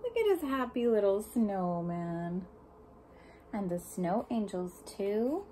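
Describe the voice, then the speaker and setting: A woman's voice: medium pitch, big pitch swings, slow pace, warm tone, slight background noise. A woman reading a picture book aloud to young children, speaking expressively and putting questions and asides to the listeners. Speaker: storybook reader for young children.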